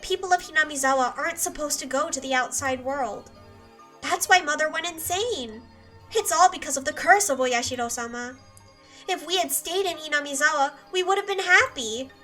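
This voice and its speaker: Unhinged guilt-ridden teen girl, high and piercing, set back from the mic over music. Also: normal speed, bright, forceful tone, recorded from a distance. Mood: obsessive. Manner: obsessive, repeating apologies, swinging from whisper to scream. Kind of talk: dubbed anime drama monologue.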